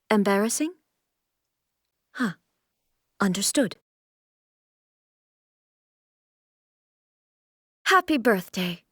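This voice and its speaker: An actress voicing a solemn, aloof immortal shogun and swordswoman in a video game, with formal, measured, stately delivery. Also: a little high, no echo, studio quality. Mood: warm, formal. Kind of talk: video game character voice lines.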